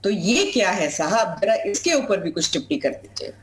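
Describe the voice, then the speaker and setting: A woman's voice: mid-range voice, very animated, normal speed, a little hiss or hum. A woman party spokesperson arguing assertively in a TV debate, joining by remote call. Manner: assertive, argumentative. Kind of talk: political debate argument. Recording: echoey remote room audio.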